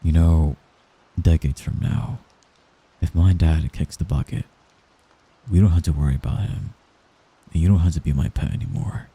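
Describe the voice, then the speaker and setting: A man playing a young mafia heir in an intimate ASMR roleplay, a gentle, hesitant and possessive friend who gives orders apologetically. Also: sits low, breathy edge, heavily acted. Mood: earnest, cautious. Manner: soft-spoken, halting, apologetic while giving orders.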